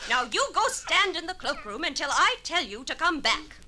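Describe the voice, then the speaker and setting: Casting: stern old-time schoolmarm, high-pitched, crisp and commanding, very sharp-edged, for radio drama. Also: female voice, sing-song, moderate pace, full character voice. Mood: angry, scolding. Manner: scolding, commanding. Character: stern angry old-fashioned female schoolteacher. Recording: 1950s radio recording, faint noise, clicks.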